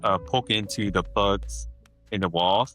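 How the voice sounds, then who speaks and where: A man's voice: fairly low pitch, a little slow, a bit bright, soft background music. A middle-aged man from Vietnam, a guest inventor, chatting in a friendly, talkative way and laughing often. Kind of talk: casual interview chat.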